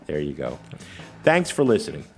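Low-voiced, lively podcast host. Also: male voice, normal speed, gravelly, faint music behind. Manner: jokey, animated. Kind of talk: casual chat.

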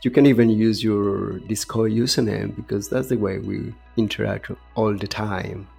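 Male podcast host, husky, fry-laden voice, interview style, faint background music. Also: on the low side, steady tone, normal speed, mellow.

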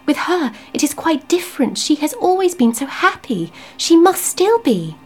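A woman reading the part of a gentle, devout young woman in an audiobook ghost story, emotional and pleading. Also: mid-range voice, very animated, fairly quick, husky, soft background music.

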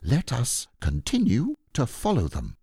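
A man voicing an earnest, puzzled toy bear who muses in a slow, deliberate way, as a storybook character. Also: mid-range voice, huge pitch swings, mellow, slightly rough, voice pushed up, heavily acted. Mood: pondering. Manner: ponderous, deliberate, musing. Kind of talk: children's storybook character reading.

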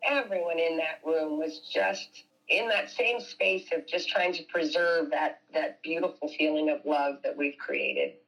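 A woman's voice: low-pitched, measured pace, hardly any pauses, a bit bright, rough recording. A woman speaking spontaneously as an interviewee. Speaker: interviewee, theater operator.

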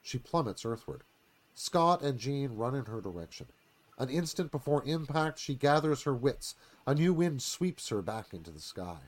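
A man's voice: mid-range voice, very animated, normal speed. A man narrating a screenplay dramatically in a live radio theatre reading.

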